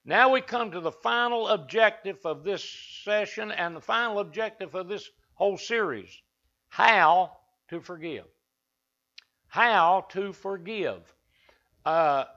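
Folksy Appalachian preacher, bright voice. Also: male voice, sits high, animated, measured pace. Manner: down-home, talks to the congregation and asks them back. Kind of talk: folksy evening sermon. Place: wood-panelled church sanctuary. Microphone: lectern mic from a far camera. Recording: dull lo-fi church recording.

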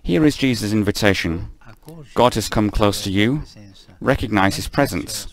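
A man giving a live spoken translation of a homily, measured, with frequent stumbles and self-corrections. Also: lowish voice, low-quality recording.

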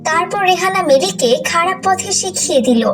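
Woman narrator, children's moral tale, very high voice, music behind. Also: brisk, far from the mic. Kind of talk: scripted children's moral storytelling.

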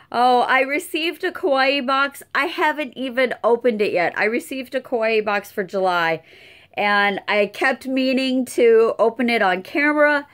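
A middle-aged woman giving a casual update from home, tired and a little hoarse, chatting with many fillers. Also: on the high side, fairly bright tone. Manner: chatty, unhurried, lots of fillers. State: tired, losing her voice. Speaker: channel host. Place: cluttered room at home. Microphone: webcam close up.

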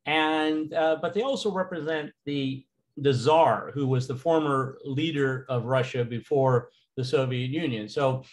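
Man, teacher voice over slides, relaxed and casual, many fillers. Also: medium pitch, a little slow. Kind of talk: recorded slideshow lecture.

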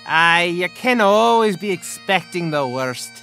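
A man's voice: high-pitched, expressive, measured pace, pushed and bright, faint music behind, full character voice. Man doing a chiding, world-weary old-world character. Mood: weary reproach. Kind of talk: character voice demo reel.

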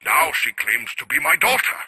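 An actor playing a grave, obsessed scientist who records formal, deliberate research logs for a video game. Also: male voice, a little high, big pitch swings, pushed and bright, slightly rough, full character voice. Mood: troubled. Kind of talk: scripted audio-log monologue.

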